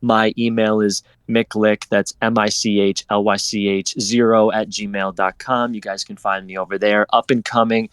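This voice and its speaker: A man's voice: on the low side, level tone, moderate pace, few pauses, a bit bright, clear, resonant tone, dry recording. A man speaking casually as a panel guest, effusive and encouraging, addressing listeners directly.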